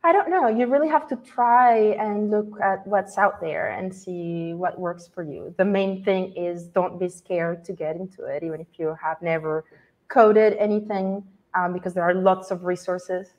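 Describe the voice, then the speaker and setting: A woman's voice: lowish voice, steady tone, measured pace, a little mellow, muffled recording. A woman in her thirties from Colombia, a developer answering interview questions in a friendly way with small laughs and some hesitation, on a video call with a headset. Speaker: guest developer. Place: home room, video call.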